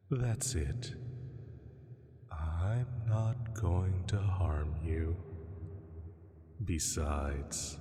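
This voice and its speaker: A man voicing a huge male dragon in a roleplay sleep-aid drama, teasing and soothing with gentle menace, lazily amused, with drawn-out pauses. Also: low-pitched. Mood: hushing, soothing. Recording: long cavernous reverb.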